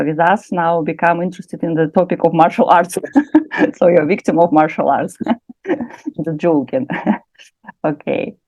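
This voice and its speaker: A woman's voice: deep voice, a little mellow, no echo. A woman with a Russian accent giving an informal lecture in English, hesitant and full of fillers. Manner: hesitant, many fillers, laughs often.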